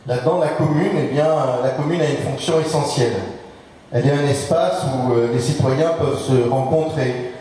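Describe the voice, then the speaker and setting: A man, a local elected official, delivers a formal speech at a public meeting in a measured, halting way, often hesitating and repeating words. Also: mid-range voice, warm tone. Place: large public meeting hall, indoors. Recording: very echoey hall, distant microphone.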